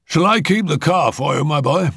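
A man voicing a courteous, fussy upper-class older gentleman with a formal, brisk, polite manner. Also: fairly high pitch, animated, creaky, husky, no room sound, studio quality, pitched above the performer's own voice, full character voice.

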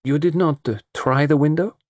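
A man's voice: a little high, moderate pace, no echo, studio quality, voice pushed up, lightly acted. A man voicing a shrewd, precise middle-aged male detective with a put-on French accent. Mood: probing. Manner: precise, formal, probing questions. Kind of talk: audiobook character voice.

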